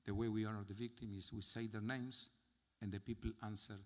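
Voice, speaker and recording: An older man with an Argentine Spanish accent, a rabbi giving a solemn memorial sermon from notes, with measured phrasing and heavy pauses. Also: lowish voice, little pitch movement. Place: large synagogue sanctuary. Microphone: gooseneck podium mic. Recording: long hall reverb from the sanctuary.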